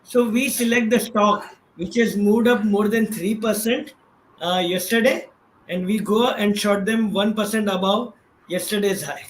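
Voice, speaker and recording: A man's voice: sits high, measured pace. A man with an Indian accent explaining things as a guest expert in a casual, conversational way, checking in as he goes. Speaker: guest expert, trading professional. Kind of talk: casual explanatory talk on stock trading. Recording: roomy echo, faint background noise.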